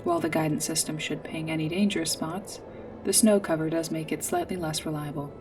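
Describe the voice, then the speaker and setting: Low, formal female android guide, acted by a woman. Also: fairly even intonation, far from the mic, lightly acted. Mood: polite, measured. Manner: formal, precise, literal wording with hesitant pauses. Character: polite, earnest female android guide on a snowy planet. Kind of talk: sci-fi ASMR roleplay. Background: music.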